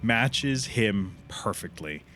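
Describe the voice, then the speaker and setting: A man's voice: on the low side, lively intonation, slow, bright, forceful tone. A man livestreaming a game with casual, slangy reactions and some swearing. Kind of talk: casual gaming reaction commentary. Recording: faint game sound and noise behind.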